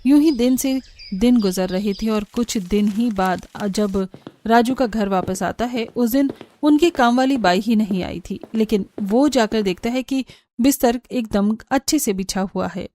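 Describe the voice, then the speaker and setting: A woman's voice: on the high side, unhurried, warm tone. A woman reading scripted narration for a cartoon story.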